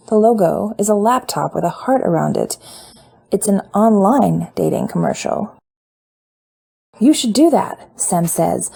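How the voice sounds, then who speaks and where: A woman reading fan fiction aloud as an audiobook, in a relaxed, conversational narrating style. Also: fairly low pitch, creaky, husky.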